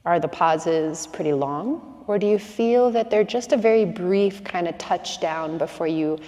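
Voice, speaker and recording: A woman's voice: fairly low pitch, in a large hall. Soothing woman guiding a breathing meditation. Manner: slow, soothing, calm instructions with long pauses. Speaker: breathwork instructor.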